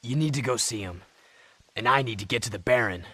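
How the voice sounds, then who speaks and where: A moody raspy young game hero, few words. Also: male voice, medium pitch, a little fast, fairly bright tone. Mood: grim. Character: brooding, terse young male action hero. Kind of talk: animated video game cutscene acting.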